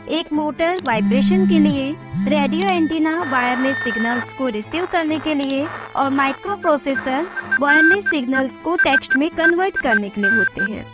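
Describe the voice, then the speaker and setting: Woman, Indian accent, high-pitched voice, scripted explainer host, music in the background.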